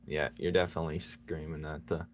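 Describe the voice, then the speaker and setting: A man chatting casually with his viewers while he draws, playful and quick to laugh as he banters with them. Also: rumbling low, little pitch movement, a little hiss or hum. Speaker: streaming artist. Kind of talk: casual chat while drawing.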